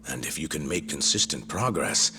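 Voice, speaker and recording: An actor playing a self-important, volatile medical director in a video game cutscene, with an emphatic, theatrical delivery. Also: male voice, rumbling low, lively intonation, moderate pace, noisy background. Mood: smug, soothing. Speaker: research center director.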